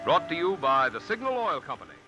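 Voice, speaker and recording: Formal 1950s radio announcer. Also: male voice, fairly high pitch, very animated, fast. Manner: formal, crisp announcer delivery. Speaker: sponsor announcer. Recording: dull lo-fi 1950s radio recording with music.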